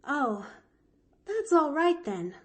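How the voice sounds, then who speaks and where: Woman doing a bright, gushing little orphan girl. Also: sits high, big pitch swings, takes it slowly, pitched above the performer's own voice, full character voice. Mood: eager. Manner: breathless, gushing, chattery. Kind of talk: audiobook character voice.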